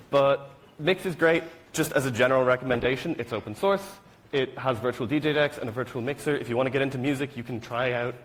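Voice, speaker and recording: A young Irish man presents a technical talk in a relaxed, offhand way, with plenty of ums and asides. His voice sits at a middle pitch for a man, and his self-deprecating humour keeps the delivery informal. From rural Ireland. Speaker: student conference speaker.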